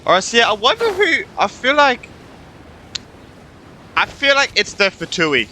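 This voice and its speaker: A man's voice: extremely high pitch, wildly swooping pitch, unhurried, bright, forceful tone, slight background noise. A man giving casual, off-the-cuff gameplay commentary as a streamer, reacting and swearing freely. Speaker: gaming streamer. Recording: faint game music behind.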